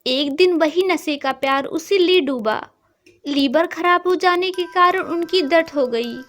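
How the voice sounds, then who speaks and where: A woman's voice: extremely high pitch, unhurried, fairly bright tone, soft background music. A woman playing a sweet-voiced former sweetheart in an audio story, with a teasing, questioning manner and wounded feeling underneath. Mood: sorrowful. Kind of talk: acted audio story dialogue.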